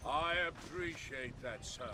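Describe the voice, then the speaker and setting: An actor playing a grandiose, excitable silent-film maker in a Western video game, theatrical and exclamatory. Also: male voice, medium pitch, a little slow, heavy background noise, close to an everyday voice. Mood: grandly delighted. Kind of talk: video game cutscene acting.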